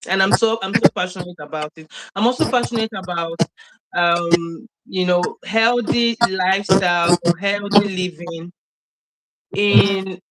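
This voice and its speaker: Slow-talking woman on a video call. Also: medium pitch, a bit bright, poor audio. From Nigeria. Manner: chatty, laughs often, many fillers. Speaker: guest. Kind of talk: casual interview storytelling.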